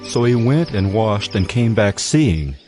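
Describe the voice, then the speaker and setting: Casting: male narrator, lowish voice, measured scripture storytelling with clear music underneath. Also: very animated, a little mellow. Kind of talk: scripted audio Bible narration.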